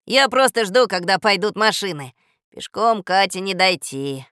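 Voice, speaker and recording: Woman voicing a gruff, bossy talking dog. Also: mid-range voice, lively intonation, unhurried, fairly bright tone, dry recording, studio quality. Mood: caring. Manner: barking commands, gruffly confident. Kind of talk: audio storybook character acting.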